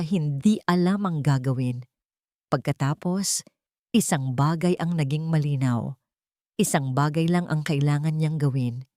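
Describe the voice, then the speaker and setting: A woman's voice: sits low, dark tone, dry recording, clean studio sound. A woman with a Filipino accent narrating a dramatic scripted love story.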